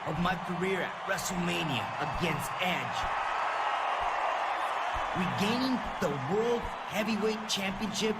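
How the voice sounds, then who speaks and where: High-voiced, determined heroic wrestler. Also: male voice, a little slow. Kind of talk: video game cutscene promo acting. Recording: loud crowd and noise behind.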